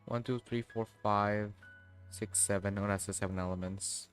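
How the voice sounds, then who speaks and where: A man giving casual, halting livestream commentary on a game, rambling and often trailing off mid-thought. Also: lowish voice, steady tone. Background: background music.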